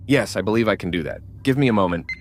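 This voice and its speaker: An obliging male doctor aboard a starship, acted by a man, talks through his tasks for the crew. His voice sits a little low but swings expressively, with faint noise behind it. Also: animated, fast, natural voice. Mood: helpful. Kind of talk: amateur sci-fi audio drama acting.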